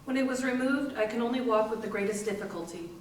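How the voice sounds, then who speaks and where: Ailing young working woman played by an actress, slightly high voice, dramatic monologue in a very echoey hall. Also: quick pace, lightly acted. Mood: earnest, pleading. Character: ailing young woman factory worker seeking help. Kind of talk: dramatic readers theater monologue. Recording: very echoey hall, far mic, faint noise.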